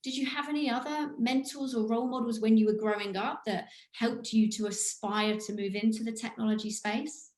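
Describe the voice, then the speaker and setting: A woman's voice: on the high side, steady tone, brisk, few pauses, darkish tone. A woman hosting an interview, asking questions in an upbeat, encouraging way. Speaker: interview host. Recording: echoey room.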